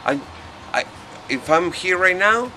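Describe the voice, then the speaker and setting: Middle-aged male painter, Peruvian Spanish accent, forceful bright tone, halting casual English, recorded outside with slight background noise. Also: sits high, expressive. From Peru. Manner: halting, non-native English phrasing. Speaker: painter being interviewed. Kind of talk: casual interview answers. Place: outdoors on a porch. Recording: outdoor street noise.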